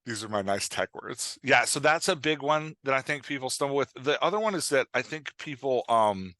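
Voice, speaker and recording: A man's voice: medium pitch, lively intonation, normal speed, pushed and bright, no room sound. A man speaking as a podcast guest and writing coach, explaining things in an informal, rambling, conversational way and sometimes acting out imagined reactions. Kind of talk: casual explanatory interview talk.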